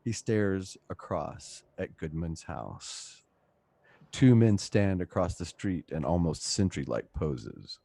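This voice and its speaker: A man's voice: low-pitched, very animated, takes it slowly, gravelly, mellow, slightly rough, dry recording. A man reading stage directions aloud as the narrator of a radio play. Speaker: host and narrator.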